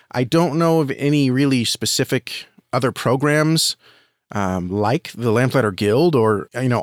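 A man's voice: mid-range voice, no room sound, clean studio sound. A man speaking as an interview guest, giving earnest, explanatory advice in a conversational way. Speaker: podcast guest, audio drama creator and reviewer.

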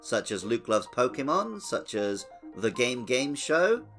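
A man's voice: mid-range voice, big pitch swings, unhurried, fairly bright tone, faint music behind. A man co-hosting a podcast, chatting casually about a video game playthrough. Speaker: podcast co-host.

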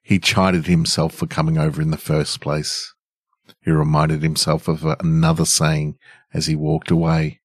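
A middle-aged man hosting a podcast and reading stories in a measured way. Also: extremely low pitch, monotone, slightly rough, no echo, clean studio sound. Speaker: podcast host and story reader. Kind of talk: scripted story narration and casual podcast outro.

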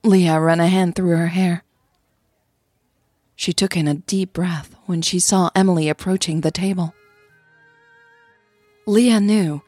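Slow, husky woman narrating romance. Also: lowish voice, darkish tone. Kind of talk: scripted romantic fiction narration.

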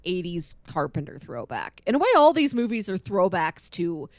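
A woman's voice: on the low side, sing-song, normal speed, no echo. Enthusiastic woman podcaster, close dry sound. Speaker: podcast co-host. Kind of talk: casual chat with read-out film pitches.

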